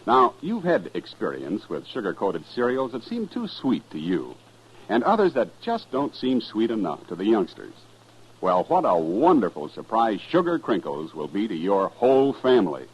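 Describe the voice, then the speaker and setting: A man's voice: lowish voice, wildly swooping pitch, moderate pace, slightly rough. A man announcing a 1950s radio commercial as a folksy, enthusiastic salesman. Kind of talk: 1950s radio advertising pitch. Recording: dull vintage radio recording.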